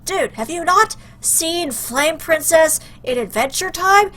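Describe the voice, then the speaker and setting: A woman hosting a casual let's play, commenting in an expressive, playful, joking way. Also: very high voice, takes it slowly. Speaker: host, gaming YouTuber. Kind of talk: casual let's play commentary, reading game lines aloud.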